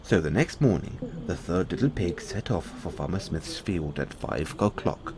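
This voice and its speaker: A man narrating a bedtime fairy tale as a storyteller, in a simple, measured read-aloud delivery. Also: low voice, lively intonation, crowd noise.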